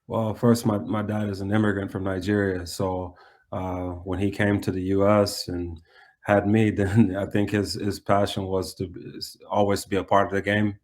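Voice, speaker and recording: A man with an American accent speaks in a low voice that stays very even. He sounds like a coach being interviewed, answering in a conversational way. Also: normal speed. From United States.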